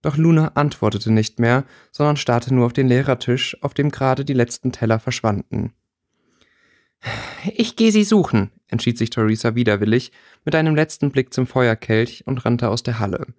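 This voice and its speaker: A man's voice: medium pitch, fairly quick, darkish tone, no room sound, clean studio sound. A man reading fiction aloud as an audiobook narrator in a scripted storytelling style.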